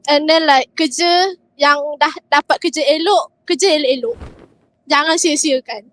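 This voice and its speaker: A woman's voice: high-pitched, normal speed, fairly bright tone. A woman with a Malaysian accent venting in casual chat, slipping in English words. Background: faint game music.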